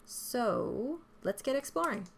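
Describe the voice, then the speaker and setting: A woman's voice: on the high side, big pitch swings, slow, creaky, a little hiss or hum, heavily acted. Woman voicing an eager, earnest little boy explorer. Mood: self-important, curious. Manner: eager, innocent, self-important.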